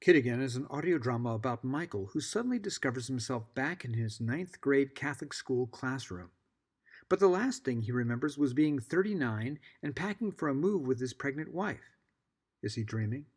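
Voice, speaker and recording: Measured American man hosting a podcast. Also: medium pitch, expressive, husky. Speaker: podcast host. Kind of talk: scripted podcast introduction.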